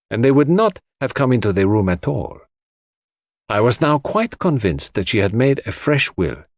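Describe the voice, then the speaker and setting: Man voicing a shrewd, courteous detective, lively pitch. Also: no room sound, studio quality. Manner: formal, precise, explanatory. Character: shrewd, courteous male foreign detective, fond of theories. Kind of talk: audiobook character dialogue.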